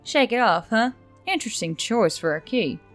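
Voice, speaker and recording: A woman's voice: on the high side, lively intonation, moderate pace, fairly bright tone. A woman voicing a shaken, guarded female adventurer in an audiobook-style story, understated, over music. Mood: guarded, weary.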